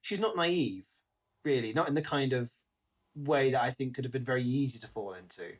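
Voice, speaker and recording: A man's voice: mid-range voice, fairly quick. British man chatting about books. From Britain. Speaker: podcast co-host. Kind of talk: casual book discussion.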